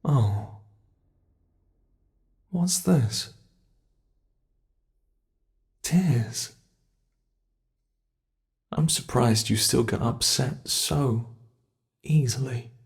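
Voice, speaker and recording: A man playing a sadistic, gloating monster from under the bed in an ASMR horror roleplay, taunting and savoring every word with a gravelly voice. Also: mid-range voice, lively intonation, drawn-out words, long pauses, full character voice. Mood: menacing, mocking.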